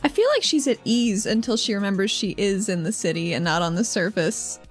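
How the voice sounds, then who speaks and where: A woman's voice: mid-range voice, animated, brisk, soft background music. A woman playing a tabletop role-playing game, chatting casually and describing what her character does. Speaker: player.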